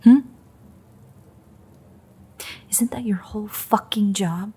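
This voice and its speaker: A woman voicing a bitter, threatening female vampire in an audio roleplay, sardonic and foul-mouthed, in a breathy, creaky murmur. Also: medium pitch, normal speed, mellow. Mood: angry, taunting. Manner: sardonic, profane, taunting. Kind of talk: intimate audio roleplay monologue.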